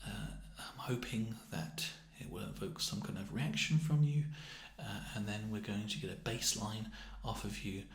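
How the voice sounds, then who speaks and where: A soft-spoken British man in an ASMR roleplay as a clerk, talking haltingly and a little awkwardly, often dropping close to a whisper. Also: on the low side, steady tone, roomy sound. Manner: hesitant, gently awkward, halting delivery. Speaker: ASMR roleplayer playing a festive assessment clerk. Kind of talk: ASMR roleplay, improvised personal-attention chat.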